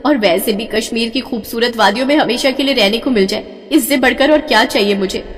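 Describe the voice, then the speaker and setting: A woman voicing a spoiled, excitable young daughter in a storybook, whiny, dramatic and gushing. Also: on the high side, a little fast, in a large hall, close to an everyday voice. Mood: eager, insistent. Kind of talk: melodramatic audio storybook acting.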